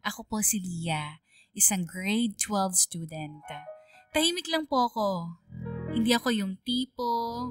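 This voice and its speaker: A woman voicing a quiet, grateful senior high schoolgirl who tells her story on radio, earnest and reflective, with a Filipino accent. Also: far from the mic. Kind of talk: dramatized first-person radio storytelling. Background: music.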